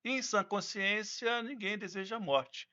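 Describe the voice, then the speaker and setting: A man's voice: high voice, moderate pace, bright, forceful tone, highs cut off. A Brazilian man in a podcast discussion, explaining reflectively and repeating key points for emphasis. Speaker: podcast guest.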